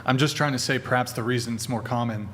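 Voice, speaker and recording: A man hosting a podcast panel, joking and hesitant, with lots of fillers, speaking into a desk mic in a bright studio. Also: mid-range voice, steady tone, fast talker, slight background noise. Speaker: podcast host. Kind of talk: casual panel chat. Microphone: desk mic on arm.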